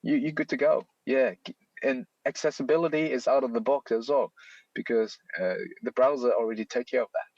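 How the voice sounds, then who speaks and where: A man in his thirties with a Chinese accent, a guest talking with lively emphasis and frequent restarts. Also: mid-range voice, brisk, dry recording, muffled recording. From China. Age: 30s. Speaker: guest, software library author. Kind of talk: casual interview chat.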